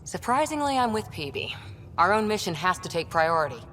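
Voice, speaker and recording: A woman's voice: medium pitch, big pitch swings, fairly quick, a bit bright, a little hiss or hum. A woman voicing a frank, skeptical spaceship crew member in a video game. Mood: firm. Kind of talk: game dialogue. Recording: reverberant room, faint music behind.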